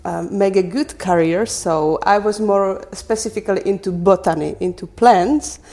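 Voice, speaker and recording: Woman, mid-pitched voice. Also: normal speed, a little mellow. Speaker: podcast guest, landscape design teacher. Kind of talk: casual interview answers.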